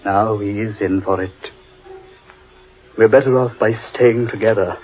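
A man's voice: fairly low pitch, fairly even intonation, a little slow, faint music behind, lightly acted. An actor playing an insistent, practical man leading lost companions in a 1940s radio horror drama, with emphatic delivery. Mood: urgent. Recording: muffled old radio recording.

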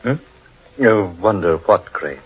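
A man playing a dreamy, cautious, brooding gentleman in 1940s radio horror, speaking in a hesitant, musing way. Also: low-pitched, lively intonation, slow pace, slightly rough, full character voice. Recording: muffled old radio recording, music behind.

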